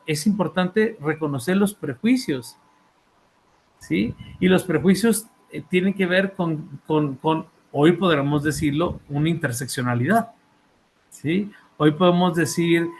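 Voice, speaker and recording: A man's voice: a little high, a little hiss or hum, low-quality recording. A man with a Mexican accent presenting his book in an academic talk, hesitating often with fillers and repeated words and checking in with his listeners. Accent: Mexican Spanish. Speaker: author and therapist presenting a book.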